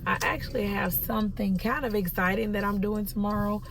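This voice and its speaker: A woman, rambling in a casual vlog, hoarse as she loses her voice. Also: mid-range voice, brisk. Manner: rambling, with many ums. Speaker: vlogger. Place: in a car. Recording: phone in a car, loud road noise. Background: loud traffic and car noise.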